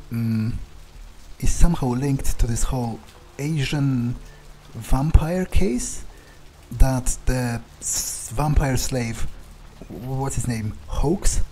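A man improvising in-character game narration, halting and sweary as he mutters to himself. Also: mid-range voice, mellow, a little hiss or hum, rough recording. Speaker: player role-playing his game character.

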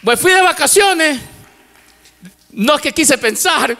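A middle-aged man preaching with a strikingly high, bright voice into a handheld mic. His words ring out with a long reverb across a large church auditorium. He repeats key phrases with fervor and drops in English words as a native English speaker. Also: expressive, slow. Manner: fervent preaching with repetition, mixing in English words. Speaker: pastor. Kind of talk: sermon. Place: large church stage with lights. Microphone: handheld microphone.

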